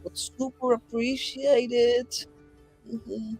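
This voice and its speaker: Tabletop player, informal and playful, improvised game talk, medium pitch, light music bed. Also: little pitch movement, slow pace, a little mellow, poor audio. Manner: informal, playful, salty language. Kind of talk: casual improvised game chat, speaking in character and narrating actions.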